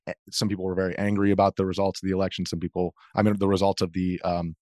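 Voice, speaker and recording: Low-voiced American man, earnest interview guest. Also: little pitch movement, racing, dark tone, no echo, studio quality. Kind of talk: conversational interview answers.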